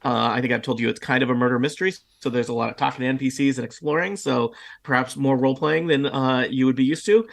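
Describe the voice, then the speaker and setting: A man with a slightly raspy voice, the game master, chatting loosely and joking at his own expense before a tabletop game. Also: medium pitch, fairly even intonation, fast. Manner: loose, rambling, self-mocking.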